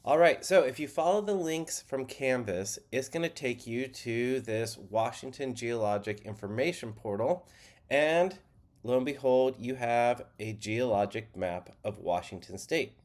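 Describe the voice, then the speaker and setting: A man's voice: medium pitch, measured pace. Congested, nasal man teaching a lesson. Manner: relaxed, plainspoken teaching. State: stuffy nose, slightly nasal. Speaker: college geology instructor. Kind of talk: screen-share lecture.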